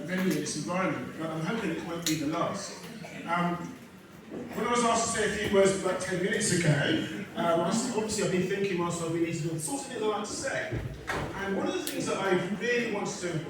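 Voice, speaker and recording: A man's voice: high voice, background noise. A man, giving an unprepared speech at an indoor community event, hesitant and full of ums and restarts. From England. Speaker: guest speaker at a community event. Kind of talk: impromptu speech. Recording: echoey hall recorded from far off.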